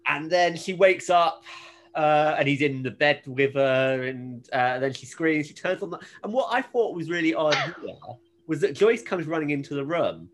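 A podcast host talks casually through a story in a jokey, animated way. The voice sits at a middling pitch and moves around a great deal, rising and dropping freely. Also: normal speed, slight background noise. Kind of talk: casual recap chat about a TV episode.

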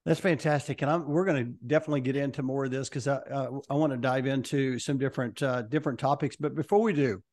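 A man's voice: mid-range voice, steady tone, quick pace, few pauses, a little mellow. A man hosting a leadership podcast, chatting with a guest in an upbeat, enthusiastic way. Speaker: podcast host. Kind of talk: casual interview chat.

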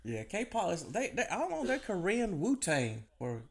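A man's voice: high voice, moderate pace, gravelly, over a noisy crowd. A man co-hosting a podcast, trading jokes and laughing in casual banter. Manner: laughing, joking, animated. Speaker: podcast co-host.

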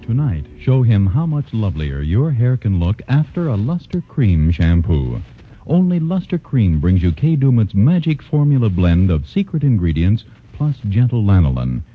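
A man announcing commercials in a 1940s radio pitch, his husky voice rising and falling eagerly. His delivery is smooth and persuasive. The recording sounds dull and muffled. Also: sing-song, normal speed. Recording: muffled old radio broadcast.

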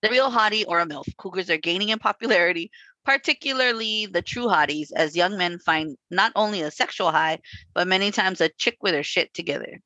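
A woman in her forties co-hosting a casual chat, frank and animated, laughing often and swearing freely. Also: on the low side, fairly quick, no room sound, rough recording. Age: 40s. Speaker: podcast co-host.

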